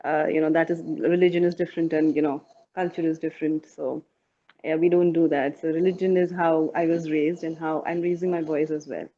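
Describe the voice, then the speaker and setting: A woman from Dubai speaking as a guest on a mothers' panel, halting, with many fillers and restarts. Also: sits low, little pitch movement, phone-quality sound. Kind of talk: casual panel talk.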